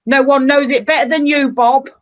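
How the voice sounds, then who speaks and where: A woman's voice: sits high, steady tone, normal speed, lo-fi sound, close to an everyday voice. A woman playing a tart, outspoken wife and mother in an amateur radio play, forthright and emphatic. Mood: indignant. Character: indignant, outspoken wife and mother, Mrs Cratchit.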